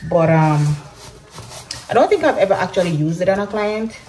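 Young Jamaican woman, home vlogger, lowish voice, relaxed chatty delivery, phone at arm's length. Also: moderate pace. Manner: chatty, relaxed, slips into patois. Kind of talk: casual vlog chat to camera. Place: small home bathroom and bedroom, indoors. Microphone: phone camera at arm's length.

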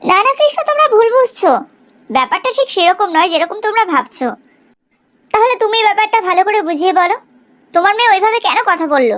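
A woman voicing a proud, sharp-tongued middle-aged village mother in a cartoon story, in an exaggerated, gossipy way. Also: extremely high pitch, sing-song, fast, full character voice. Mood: pleading, insistent. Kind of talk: Bengali cartoon story dialogue.

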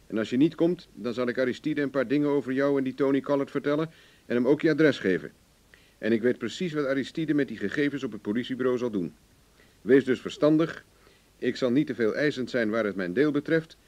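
An actor playing a cocky, wry, hard-drinking investigator in a radio play, speaking in a dry, self-assured way. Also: male voice, medium pitch, darkish tone, natural voice. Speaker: actor in the lead role. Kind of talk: Dutch radio play acting. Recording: dull, lo-fi old radio recording.

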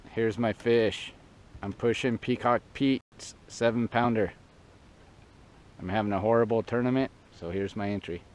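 A middle-aged man chatting casually and joking while bass fishing from a boat on a river, caught by an action camera. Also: steady tone, a little slow. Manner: laid-back, joking banter. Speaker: fishing vlogger.

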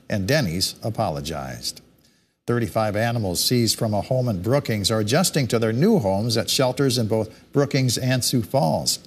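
A man reading local news stories as a television studio anchor, in a steady, measured delivery. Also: mid-range voice, breathy edge.

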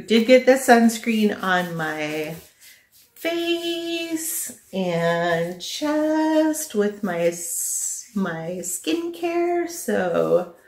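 A middle-aged woman chatting casually to the camera in her living room, thinking aloud as she reviews products. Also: medium pitch. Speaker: vlogger presenting a product haul. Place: small living room at home, indoors. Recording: echoey home living room.

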